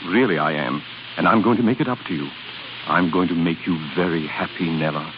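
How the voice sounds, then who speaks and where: A man's voice: deep voice, a little fast, background noise, lightly acted. A man playing a conceited, possessive aristocratic suitor, pompous and effusive in 1930s radio style. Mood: effusive, coaxing. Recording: muffled 1930s radio broadcast with music.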